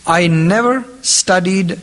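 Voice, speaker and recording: High-voiced man preaching a sermon. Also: takes it slowly, a bit bright. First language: not English; has a different mother tongue. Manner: repeats key phrases, asks the audience questions. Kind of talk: sermon to a group.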